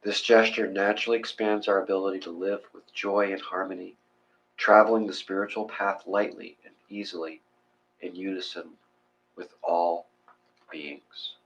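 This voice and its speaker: A man leading a guided meditation and reading aloud in a measured, gentle, instructional way. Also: sits low, fairly even intonation, slight background noise, poor audio.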